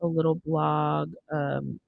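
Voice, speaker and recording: A woman's voice: sits low, level tone, takes it slowly, gravelly, narrow, tinny audio, slight background noise. A young American woman hosting a casual podcast chat from a studio, close on the microphone. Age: young adult. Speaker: radio show host. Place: indoor studio. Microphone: close studio microphone on boom arm.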